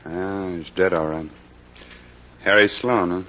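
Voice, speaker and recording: Gruff homicide captain, deep, matter-of-fact cop talk, dull vintage radio recording. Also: male voice, fairly even intonation, slow, heavy background noise. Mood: businesslike. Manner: terse, grumbling, matter-of-fact. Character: gruff, world-weary male homicide police captain. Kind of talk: 1940s radio acting.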